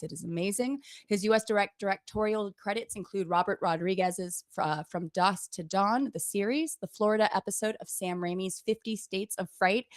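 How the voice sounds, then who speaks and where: A woman in her forties hosts a relaxed livestream interview. She is playful and likes to tease, in an everyday conversational register. Her voice sits at a medium pitch and sounds dry, with almost no room on it. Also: dry recording. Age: 40s. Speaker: interview show host. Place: indoors on a couch.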